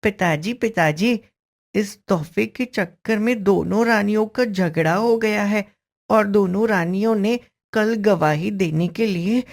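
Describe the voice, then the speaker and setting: धीमे बोलता भोला, घबराया लड़का. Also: female voice, medium pitch, unhurried, creaky. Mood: worried, pleading. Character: minister's young son, anxious and naive. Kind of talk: cartoon story dialogue.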